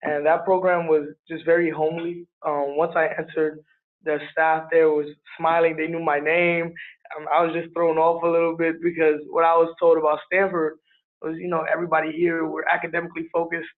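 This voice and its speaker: Higher-pitched, even-toned young man. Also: a little fast, phone-quality sound. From born in Liberia, moved to Harlem, New York. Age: around 20. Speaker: student panelist. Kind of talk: casual, unscripted panel answer.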